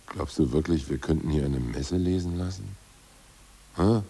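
An actor playing a blunt, coarse ousted party official in a radio drama, with a gravelly, husky voice. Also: male voice, sits low, moderate pace, dark tone, full character voice. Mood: scheming. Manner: blunt, coarse, self-assured bluster. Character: ousted party boss, gruff cynical man. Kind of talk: 1980s radio drama acting.

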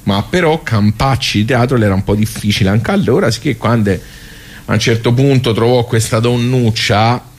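A man's voice: medium pitch, moderate pace. A man with a Tuscan accent performing a colloquial, rambling storytelling monologue, full of asides to his listeners.

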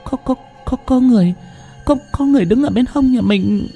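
A man voicing a terrified young wife who stammers in shrill panic. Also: sing-song, moderate pace, mellow, slightly rough, faint music behind. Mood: panicked stammering.

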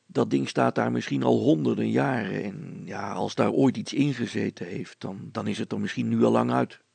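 A man's voice: lowish voice, moderate pace, gravelly, darkish tone, slightly rough, no echo, full character voice. A man voicing a sober, patient, doubting village smith in a story, with a dry, hesitant manner. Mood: tempering.

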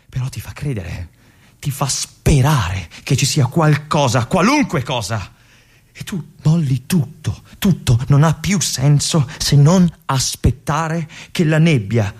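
An actor playing a disillusioned young army lieutenant at a remote fortress, speaking to his colonel in a confiding, pleading monologue with weighty pauses. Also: fairly high pitch, darkish tone, breathy edge. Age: young adult. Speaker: stage actor. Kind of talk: theatrical monologue addressed to a colonel.

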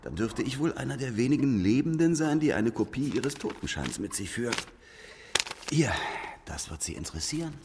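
An actor in a German radio drama playing a shrewd, sardonic escape artist, a needling skeptic with theatrical pauses. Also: male voice, mid-range voice, sing-song, background noise. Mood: sly, suspicious.

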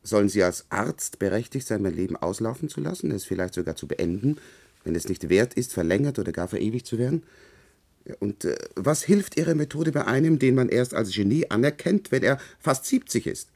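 A man's voice: lowish voice, lively intonation, brisk, gravelly, a little mellow. A male actor playing a hesitant, doubting man in a German radio play, speaking in a polite, questioning way. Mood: probing, sceptical. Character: hesitant, doubting man recalling his youth as research subject.

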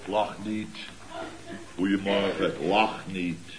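A man's voice: low voice, flat delivery, slow, crowd noise, rough recording. A man playing a self-pitying, blustery contractor boss in a Dutch radio comedy serial, complaining indignantly in clipped, repetitive phrases. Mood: grumpy, demanding.

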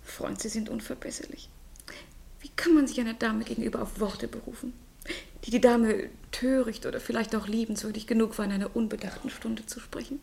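A woman's voice: on the high side, fairly even intonation, a little fast, creaky, a little mellow. A haughty, witty, mocking noblewoman. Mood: scornful, lecturing. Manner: mocking, eloquent, formally polished. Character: haughty, witty, flirtatious noblewoman rebuking her married lover. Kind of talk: German literary radio play acting.

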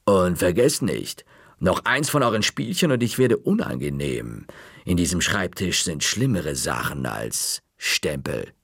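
A man voicing a smug, quietly threatening woman librarian, speaking slowly, in a storybook reading. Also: deep voice, very animated, gravelly, breathy edge, no echo, studio quality, voice pushed down, exaggerated voice. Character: sly menacing woman librarian, middle-aged.

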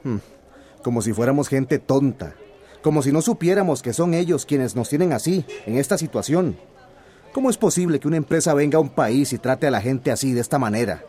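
A man's voice: mid-range voice, animated, fairly quick, warm tone, natural voice. A man playing an earnest, indignant middle-aged villager and grieving father in a radio drama. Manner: earnest, aggrieved, plain-spoken.

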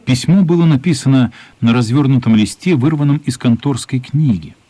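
An actor playing the storyteller of a children's radio play, narrating in a measured, descriptive way. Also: male voice, mid-range voice, warm tone, no room sound, close to an everyday voice.

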